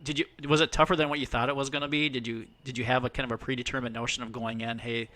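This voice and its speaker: A man's voice: mid-range voice, level tone, rapid-fire, bright, forceful tone. A man co-hosting a podcast, putting questions to a guest in casual conversation. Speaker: podcast co-host. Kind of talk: casual interview chat.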